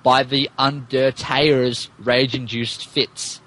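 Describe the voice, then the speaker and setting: A man's voice: medium pitch, level tone, takes it slowly, a bit bright, poor audio. A man narrating a story in an amused, theatrical, mock-dramatic way, as a podcast co-host.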